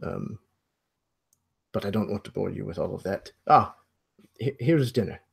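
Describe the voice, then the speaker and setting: A man playing an aging, courteous host of a mansion in a tabletop game, speaking in a halting, apologetic and formally polite way. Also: lowish voice, creaky, husky, heavily acted. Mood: evasive, polite. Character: aging, courteous, flustered old mansion host in plaid suit. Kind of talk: improvised roleplay dialogue.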